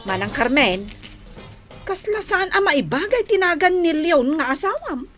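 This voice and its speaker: High-pitched, giggly mocking younger sister. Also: female voice, big pitch swings, fairly bright tone, faint music behind, full character voice. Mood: mocking amusement. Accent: Ilocano. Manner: giggling, teasing, drawn-out delivery. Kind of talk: Filipino radio drama acting.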